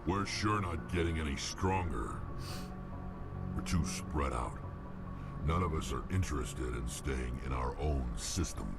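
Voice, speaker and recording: An actor playing a gruff, world-weary alien warrior mercenary in a video game, blunt and sardonic. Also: male voice, lively intonation, measured pace, exaggerated voice. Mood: grim. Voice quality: gravelly, very deep. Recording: loud game music behind the voice.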